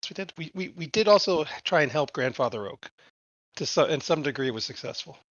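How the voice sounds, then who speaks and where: A man's voice: a little high, animated, fast talker, no room sound, lo-fi sound. A man playing a tabletop game, talking casually and stammering over his words. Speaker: player.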